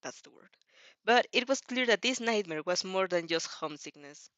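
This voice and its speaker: A woman who is a non-native English speaker, hosting a casual fan fiction read-aloud with an informal, halting, giggly delivery and self-corrections. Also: mid-range voice, lively intonation, a bit bright, no echo, highs cut off. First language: not English. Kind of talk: casual reaction chat and story reading.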